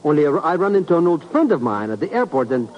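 A small-time hustler from a vintage radio thriller, shifty and excitable. His pitch jumps around as he blusters in stammered slang. The recording is old and muffled. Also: fast. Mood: flustered, bragging. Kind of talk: 1950s radio acting.

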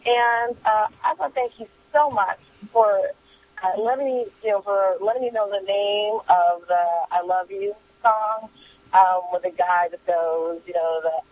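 Female caller, laryngitis-hoarse, casual and meandering, heard over a thin telephone line. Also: mid-range voice, fairly even intonation, a little slow. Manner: rambling, hesitant. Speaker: listener calling a podcast voicemail. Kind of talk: casual chat. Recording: thin, phone-like voicemail line.

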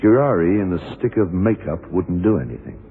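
An actor playing a shrewd, methodical police detective in an old radio drama, questioning in a deliberate, probing way. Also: male voice, sits low, big pitch swings, slight background noise. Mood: analytical. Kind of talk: 1960s-70s radio drama acting. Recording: tinny, muffled old radio recording.

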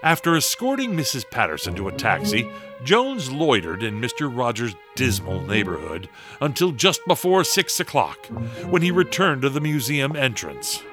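A man's voice: medium pitch, big pitch swings, moderate pace, close to an everyday voice. A man giving scripted narration for a radio drama. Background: music.

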